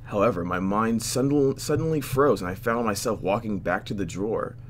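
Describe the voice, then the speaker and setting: A man hosting a game playthrough, reading visual novel narration aloud in an expressive way, stumbling now and then and dropping in joking asides. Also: on the low side, moderate pace, slight background noise. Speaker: host of a video game playthrough.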